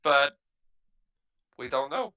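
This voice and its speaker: Animated, bright-voiced male tabletop gamer. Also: mid-range voice, slow, a little hiss or hum. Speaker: player in a tabletop roleplaying game. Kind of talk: casual game chat.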